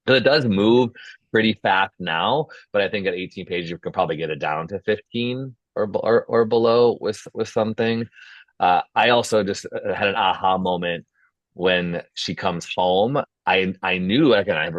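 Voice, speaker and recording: A man's voice: low-pitched, a bit bright. A man hosting a feedback discussion, talking casually and thinking aloud as he gives notes. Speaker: host of script feedback session. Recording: dull, roomy call audio.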